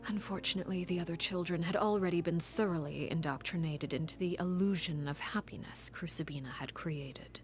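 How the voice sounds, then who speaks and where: A cold, composed woman, played by an actress, tells a dark tale in a soft, breathy half-whisper. Her voice sits low and stays measured and grave, as in a game cutscene. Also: faint music behind, natural voice. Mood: grave, calm. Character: cold, composed woman recounting a grim past. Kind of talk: game story cutscene dialogue.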